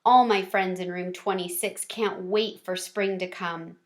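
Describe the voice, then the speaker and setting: A woman's voice: mid-range voice, steady tone, measured pace, pitched below the performer's own voice, lightly acted. A woman voicing an adventurous, earnest little male hamster in a children's storybook, with an emphatic manner. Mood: friendly, explaining. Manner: emphatic, repeats words for effect. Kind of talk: children's chapter book narration in character.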